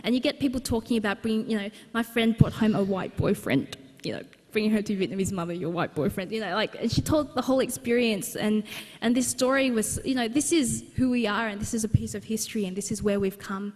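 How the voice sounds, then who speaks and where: A woman's voice: medium pitch, fast, few pauses, a little mellow. A young woman, an author, telling a personal story at a book launch in an earnest, conversational way with many restarts. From Australia. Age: young adult. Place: large exhibition centre hall. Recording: long hall reverb.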